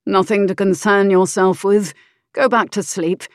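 A woman's voice: mid-range voice, steady tone, measured pace, no room sound, clean studio sound, close to an everyday voice. A woman voicing an arrogant, selfish nobleman in an audiobook, sneering and commanding.

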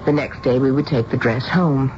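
Low-voiced, gentle, anguished mother. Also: normal speed. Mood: sombre, reflective. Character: anguished, gentle middle-aged mother, protective and restrained. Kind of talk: 1970s radio drama narration and dialogue. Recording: dull 1970s radio recording with music.

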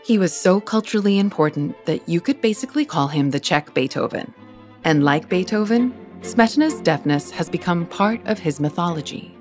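A woman hosting a podcast, narrating a scripted story in a clear, measured way. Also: lowish voice, expressive, darkish tone, soft background music. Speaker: podcast host.

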